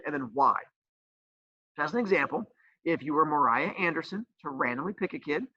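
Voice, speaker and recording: Lively, bright-voiced joking male teacher. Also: fairly high pitch, no echo, lo-fi sound. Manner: joking, playful teasing; says he has a loud voice. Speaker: teacher and school coach. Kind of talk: explaining a homework assignment.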